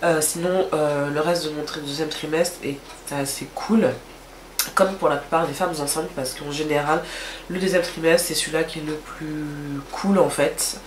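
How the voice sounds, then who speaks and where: A woman's voice: deep voice, steady tone, moderate pace, roomy sound, slight background noise. A woman with a stuffy, nasal voice from a cold, chatting casually to camera in a rambling way with many hesitations. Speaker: vlogger.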